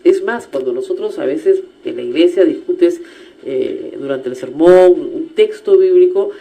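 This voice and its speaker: A woman with a Peruvian accent hosting a radio interview, talking in an animated, emphatic and teasing way. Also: lowish voice, unhurried. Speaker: radio show host.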